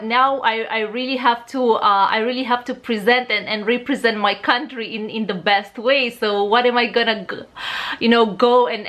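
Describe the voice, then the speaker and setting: Young Romanian woman, bright voice pitched a little high, chatty hesitant storyteller. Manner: hesitant, many fillers and restarts. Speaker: vlogger. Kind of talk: casual storytelling.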